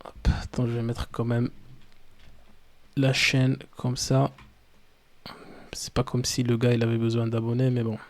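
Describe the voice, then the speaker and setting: A man streaming live in French, which is not his first language, chatting loosely and haltingly while he sets up. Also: mid-range voice, monotone. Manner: halting, loose, talks to himself while setting up. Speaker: streamer reacting to videos. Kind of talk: casual live-stream chat.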